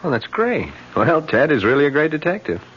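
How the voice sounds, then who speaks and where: A man's voice: on the high side, sing-song, a little fast, slightly rough. An actor in a 1970s radio drama playing a worried male friend who urges the truth, earnest and pressing. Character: concerned male friend urging honesty. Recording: dull vintage radio broadcast.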